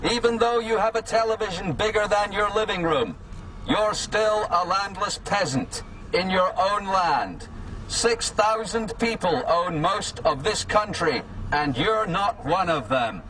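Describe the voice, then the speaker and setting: Slow, high-pitched man haranguing through megaphone. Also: expressive. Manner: haranguing, repetitive, declamatory. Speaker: political campaigner. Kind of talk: megaphone political street speech. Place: outdoors in residential streets. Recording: distant megaphone, loud street and vehicle noise.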